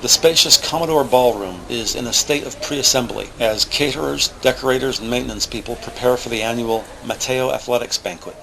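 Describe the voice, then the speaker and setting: A man's voice: mid-range voice, a little fast, vocal fry, few pauses, background noise. A man reading scripted, present-tense narration for an audio drama as its narrator. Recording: music bed under the voice. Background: music.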